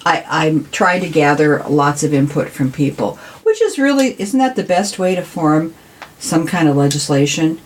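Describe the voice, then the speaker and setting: A woman's voice: deep voice, very animated, moderate pace. An American woman talking conversationally as an interview guest, earnest and emphatic, repeating words for stress. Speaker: city council member, podcast guest.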